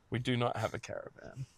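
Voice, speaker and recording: A man's voice: medium pitch, husky, no room sound. A man with an Australian accent chatting casually as a podcast co-host, wry and playfully teasing, out on a walk. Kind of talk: casual chat. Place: outdoors, walking along a small-town street.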